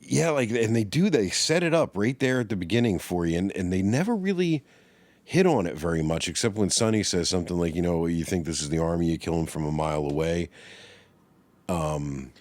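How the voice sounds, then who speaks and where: Brief: very low-voiced male podcast host, lively swings in his delivery, swears freely. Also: fairly quick, dry recording. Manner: animated, profane, laughs often. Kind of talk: casual film discussion.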